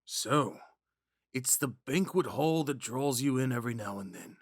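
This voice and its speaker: A man playing a kind, shy young prince in a romantic ASMR roleplay, speaking gently and hesitantly. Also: mid-range voice, expressive, a little slow, dry recording, studio quality. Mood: thoughtful. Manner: soft-spoken, hesitant, stammering when flustered. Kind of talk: ASMR roleplay, scripted monologue to a listener.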